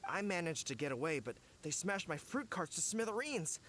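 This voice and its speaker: Worried game villager, kinda high voice, frets and exclaims, bit of background noise. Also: male voice, big pitch swings, moderate pace, fairly bright tone. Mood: distressed. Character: flustered, fretful male fruit-cart delivery villager. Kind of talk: video game character voice acting.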